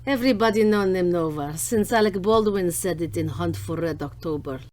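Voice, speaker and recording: A woman hamming up a low-voiced Russian spy. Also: moderate pace, music in the background, natural voice. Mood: haughty. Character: sultry, haughty Russian female spy, villain's partner. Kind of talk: campy radio play parody acting.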